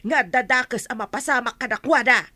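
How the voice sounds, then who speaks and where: Woman acting menacing village matron, fairly high sharp voice, Ilocano accent, radio drama, dry sound. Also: moderate pace, no echo. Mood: accusing, angry. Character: indignant village elder wife, accusing and menacing.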